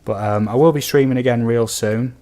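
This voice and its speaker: A man from the UK with a slightly croaky voice, chatting casually and easily to viewers as a livestream host. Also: mid-range voice, fairly quick, warm tone. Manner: easygoing, chatty, addresses viewers as buddy and guys. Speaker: game streamer, host.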